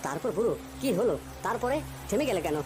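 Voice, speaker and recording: An excitable young villager, played by a woman speaks quickly in a raised pitch over loud music. The cartoon character is nervous and endlessly curious. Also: close to an everyday voice. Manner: excitable, questioning. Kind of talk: animated ghost story voice acting.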